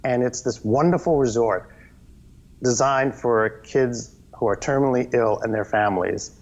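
Animated, rambling man telling stories. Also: mid-range voice, normal speed, dull audio. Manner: animated, rambling, with many restarts. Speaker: guest, children's TV performer. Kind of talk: casual interview storytelling.

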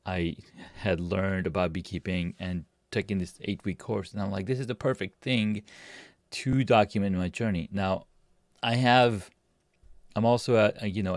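A man in his forties from Pakistan, a podcast guest, telling his story in a relaxed way with many fillers and restarts. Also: sits low, no echo, clean studio sound. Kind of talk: casual interview talk.

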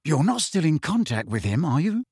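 A man's voice: fairly high pitch, big pitch swings, normal speed, a little mellow, husky, dry recording, studio quality, exaggerated voice. A man voicing a blunt, suspicious teenage boy with a slightly raised voice.

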